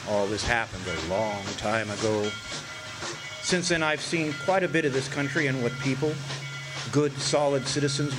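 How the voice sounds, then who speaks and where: A man's voice: medium pitch, close to an everyday voice, poor audio. Man acting a world-weary, reflective old hobo storyteller. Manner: folksy, hesitant, reflective. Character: world-weary older male hobo narrator, reflective war veteran. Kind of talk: radio drama narration, reminiscing.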